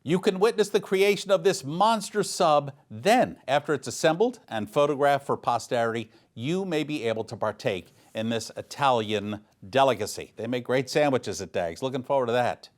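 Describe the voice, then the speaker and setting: A man's voice: mid-range voice, expressive, moderate pace, few pauses. A man anchoring local news, reading scripted stories in a playful, mock-dramatic way. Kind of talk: scripted news narration with light humor.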